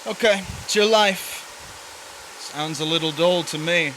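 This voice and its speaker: A man's voice: a little high, slow pace, bright, forceful tone, slight background noise, low-quality recording. An actor playing a cocky drifter in a stage drama, needling and flirting with a married woman. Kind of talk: stage drama performed online, with first-person narration.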